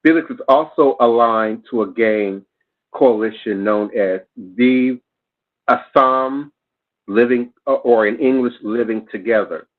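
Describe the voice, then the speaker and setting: A man hosting a show, reading news reports aloud with care, who stops to spell out names and correct his own pronunciation. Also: mid-range voice. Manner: careful, spells out names letter by letter. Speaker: host. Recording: phone-like narrow band.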